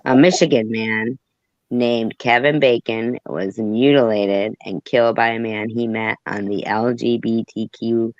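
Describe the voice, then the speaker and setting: A man's voice: mid-range voice, vocal fry, dry recording, highs cut off. A man hosting a casual chat who rambles, trails off and mutters asides, then reads news reports aloud. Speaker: host. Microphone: phone.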